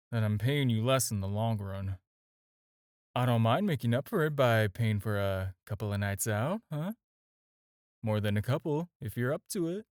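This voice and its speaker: A man's voice: mid-range voice, no echo, studio quality, natural voice. A man acting a flirty, self-assured supervillain in an audio roleplay, talking to the listener in a teasing, casual, conversational way. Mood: flirtatious, casual. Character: flirty, smooth, self-assured male supervillain courting his tailor.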